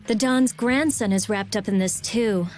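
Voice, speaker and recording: A woman's voice: mid-range voice, a little slow, natural voice. A woman playing a blunt, impatient teenage girl mage in a video game, with a grumbling manner. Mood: exasperated.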